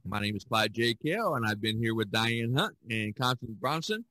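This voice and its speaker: A man's voice: mid-range voice, animated, normal speed, sharp tone, no room sound. An older man hosting a casual podcast chat, rambling and often repeating his phrases. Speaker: podcast host.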